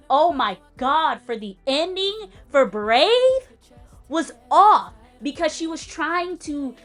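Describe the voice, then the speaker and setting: Animated, light-voiced woman music teacher. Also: high voice. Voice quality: light-sounding voice. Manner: animated and emphatic, stressing words and interjecting; direct, teacherly advice to the viewer. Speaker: music educator and vocalist. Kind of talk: casual commentary and performance critique.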